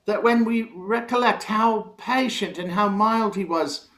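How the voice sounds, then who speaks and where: A man plays a gentle father in a radio drama, grieving and tender. His voice is high for a man, and he speaks earnestly to his family. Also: unhurried. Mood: tender grief. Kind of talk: theatrical radio play acting.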